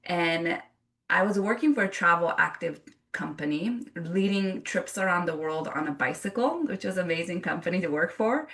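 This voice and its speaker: Low gravelly woman, earnest storyteller. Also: lively intonation, normal speed, a bit bright, distant mic. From Japan. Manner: earnest and reflective, with frequent restarts. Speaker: yoga teacher guest. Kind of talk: casual interview storytelling.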